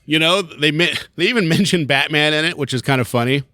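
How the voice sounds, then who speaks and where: A man's voice: fairly high pitch, quick pace, bright, forceful tone, dry recording. A man co-hosting a podcast, chatting casually about comics, rambling and swearing, laughing often. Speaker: podcast co-host.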